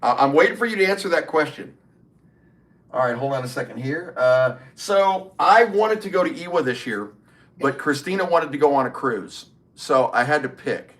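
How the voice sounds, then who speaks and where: A man's voice: on the high side, expressive, moderate pace, a bit bright. A middle-aged man, hosting a casual livestream and chatting with viewers, reading their comments aloud and answering them. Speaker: livestream host. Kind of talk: casual live stream chat.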